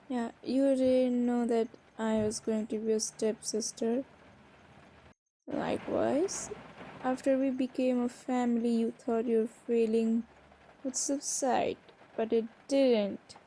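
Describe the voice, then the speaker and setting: A woman's voice: fairly high pitch, level tone, slow pace, pauses often, dark tone, slight background noise, poor audio. A woman playing a shy, flustered young woman in an improvised intimate romantic audio roleplay, stammering and hesitating as she talks. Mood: tender, wondering. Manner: hesitant, stammering, breaking off and repeating words.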